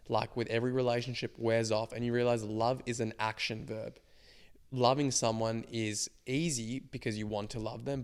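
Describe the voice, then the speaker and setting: A man in his twenties co-hosting a podcast with a slightly croaky voice, reflecting at length in casual chat. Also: medium pitch. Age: 20s. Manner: reflective, explains ideas at length. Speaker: podcast co-host.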